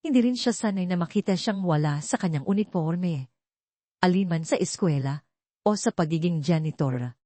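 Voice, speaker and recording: A woman's voice: lowish voice, moderate pace, warm tone, no room sound. A woman reading a scripted dramatic story as an audiobook narrator.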